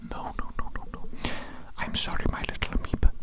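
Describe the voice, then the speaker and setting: Man acting as eccentric evil scientist, breathy unvoiced whisper, fussy, obsessively repetitive, ASMR roleplay. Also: brisk, lightly acted. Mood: flustered self-reproach. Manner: fussy, repeats words and phrases obsessively. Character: eccentric evil mad doctor tending his tiny creature.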